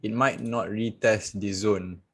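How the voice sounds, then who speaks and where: A man teaching trading on a live video call, chatty and relaxed, laughing and talking straight to his viewers. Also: on the low side, unhurried, breathy edge. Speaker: trading coach. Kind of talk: casual live-stream trading lesson.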